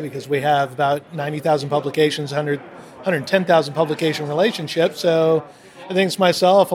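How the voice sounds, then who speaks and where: A man with a slightly high voice introduces himself earnestly at a conference. He corrects himself mid-sentence as he works out his figures. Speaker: university staff member. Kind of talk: self-introduction in a group round.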